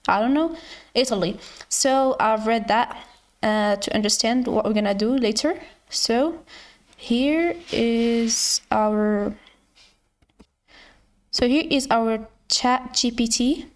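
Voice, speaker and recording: A woman who is not a native English speaker, hosting an informal screen-share tutorial in a halting way, often repeating and correcting her words. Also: on the high side. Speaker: tutorial host.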